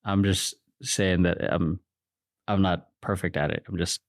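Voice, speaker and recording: A man's voice: low voice, fairly even intonation, unhurried, dry recording, clean studio sound. A man in his thirties from the Philippines, speaking as a podcast guest in a hesitant, reflective way with many restarts. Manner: hesitant, restarts often, thinks aloud. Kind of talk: casual reflective interview.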